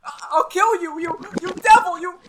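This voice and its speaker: Man acting very high, panicky helper, noisy recording. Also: normal speed. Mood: defiant fear. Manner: stammering, panicky, shrill. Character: terrified, simple, loyal lab helper. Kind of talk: old-time radio horror acting.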